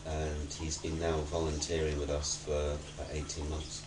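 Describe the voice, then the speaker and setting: Casting: man, extremely low voice, even intonation, halting interviewee speaking in measured phrases. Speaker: charity worker in an LGBT documentary interview. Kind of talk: documentary interview talk. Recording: roomy echo, distant mic, clear background noise.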